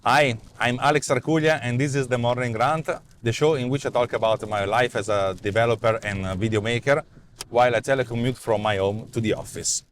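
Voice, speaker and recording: A man's voice: mid-range voice, fairly quick, slight background noise. A man in his forties with an Italian accent, talking off the cuff in a car about his app ideas, with lots of fillers and repeated words. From Italy. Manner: animated, gestures a lot, many repeats and fillers. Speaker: vlog host and app developer. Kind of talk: casual spoken rant. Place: inside a parked car, daytime. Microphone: clip-on lapel mic.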